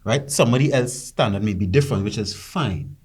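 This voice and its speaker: A low-voiced man with a husky edge leads a panel discussion. He asks probing questions and keeps checking in with his listeners as he lays out each example. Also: expressive, brisk, darkish tone.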